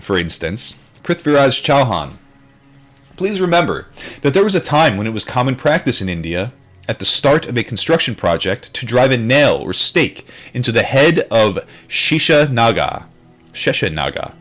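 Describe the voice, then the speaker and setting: A man's voice: medium pitch, a bit bright. A man reading aloud from a book in an informal way, sometimes adding casual commentary and stumbling over difficult terms. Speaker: book reader, streamer.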